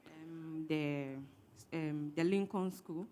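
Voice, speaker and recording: A woman's voice: deep voice, fairly even intonation, slow pace, dark tone. A woman taking part in a workshop, a banker, giving brief answers with hesitant fillers in casual conversation.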